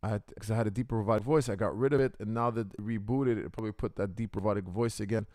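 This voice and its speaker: A man hosting a casual livestream podcast through a deep robotic voice filter, chatting with viewers and calling them guys. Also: steady tone, fast, a little mellow, dry recording, clean studio sound. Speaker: podcast host. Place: Canada.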